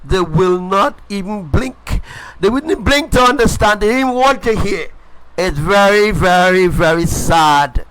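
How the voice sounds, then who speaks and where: A man's voice: high voice, lively intonation, measured pace, fairly bright tone, low-quality recording. A man preaching a live-streamed sermon straight to his viewers, emphatic and repetitive, calling them folks. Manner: emphatic and repetitive, hammering phrases again and again; addresses viewers directly as folks. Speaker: self-styled preacher.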